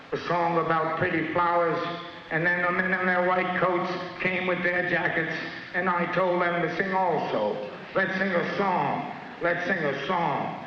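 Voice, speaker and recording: A poet with a fairly high male voice delivers repeated phrases like an incantation. His words ring heavily around a reverberant hall. The sound is a little dull, with faint noise. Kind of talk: poetry recital and recollection.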